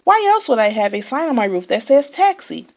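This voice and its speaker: A woman voicing a chatty male yak taxi driver who never stops talking, loud and indignant. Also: high voice, very animated, a little fast, voice pushed up. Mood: chattering.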